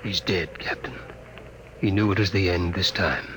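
An actor playing a wary, skeptical ship's doctor in an old-time radio drama, earnest and suspicious. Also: male voice, deep voice, steady tone, normal speed, background noise, close to an everyday voice. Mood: hushed, shocked.

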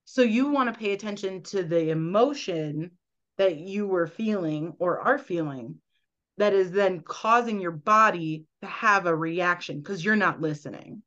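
A woman's voice: fairly low pitch, measured pace, fairly bright tone, highs cut off. A woman guest on a podcast, earnestly explaining at length and often checking in with "right?". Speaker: guest, medical intuitive.

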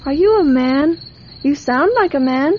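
Curious little girl, high and unhurried, wide-eyed and trusting, radio drama with some background hiss. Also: expressive. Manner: wide-eyed, earnest, childlike chatter. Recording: dull, noisy radio drama recording.

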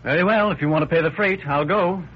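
An actor playing a skeptical, brusque young son-in-law in an old-time radio drama. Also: male voice, a little high, fast, natural voice. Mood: reluctant, brisk. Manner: brusque, exasperated. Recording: muffled, noisy old radio recording.

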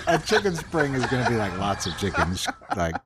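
A man co-hosting a podcast in casual chat, joking with animated, exaggerated asides. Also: mid-range voice, a little slow, noisy background. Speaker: podcast co-host.

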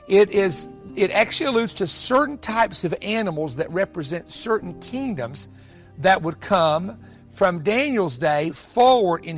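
A man preaching in a Bible-teaching style, explaining things emphatically and restating his points as he goes. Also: sits high. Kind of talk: sermon-style Bible teaching, partly off the cuff.

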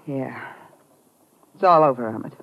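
An actress playing a treacherous, calculating femme fatale in a 1950s radio drama. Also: female voice, extremely low pitch, takes it slowly, creaky, a little hiss or hum, exaggerated voice. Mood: tense. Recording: dull vintage radio recording.

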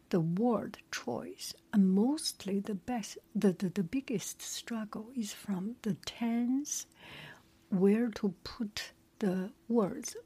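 A woman, not a native English speaker, whispering a relaxed chat and reading a story aloud with many hesitations. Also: medium pitch, breathy edge.

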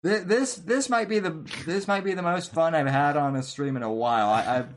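A man with a slightly high voice hosts a casual livestream. He is hesitant, often stammering over the start of a sentence and repeating himself. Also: normal speed, a little hiss or hum. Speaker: talk show host.

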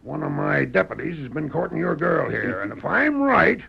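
Menacing sheriff, Old West drawl, rough rasp, highish pitch, blustering, dull old radio sound. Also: male voice, sing-song, normal speed, full character voice. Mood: threatening. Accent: American Western drawl. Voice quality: gravelly, raspy. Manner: blustering, threatening. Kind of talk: old-time radio western acting.

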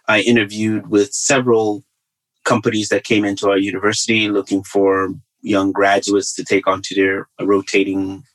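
A middle-aged man with a Zambian accent telling his life story as an interview guest, in measured, gracious, rather formal phrasing. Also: lowish voice, a bit bright, low-quality recording. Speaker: podcast guest, author and engineer.

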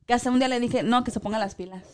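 High-voiced chatty woman. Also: very fast. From Chicago. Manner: code-switching, animated, laughs often. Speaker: co-host, school translator. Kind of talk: casual chat.

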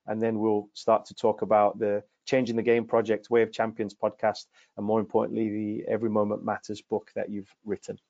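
A man hosting a video-call interview, introducing his guest in a friendly, halting way with lots of fillers. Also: fairly low pitch, a little mellow. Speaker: podcast host, coaching director. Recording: dry, dull call audio.

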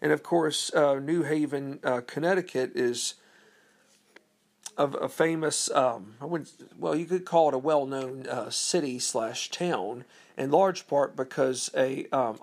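A man's voice: medium pitch, a little slow, vocal fry. A man giving an unscripted history talk, hesitant and full of ums and uhs, asking his listeners questions. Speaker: history presenter.

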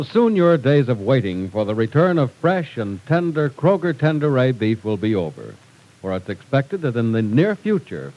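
A man's voice: mid-range voice, a little mellow, poor audio. A man working as a 1940s radio announcer, reading scripted copy in a polished, emphatic way.

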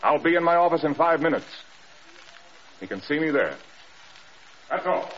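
A man's voice: a little high, lively intonation, moderate pace, natural voice. Guy playing a strict american general, clipped and commanding, old radio drama, dull sound with some hiss. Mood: hard, commanding. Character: stern, disciplinarian male air force general. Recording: dull old radio recording, clear noise.

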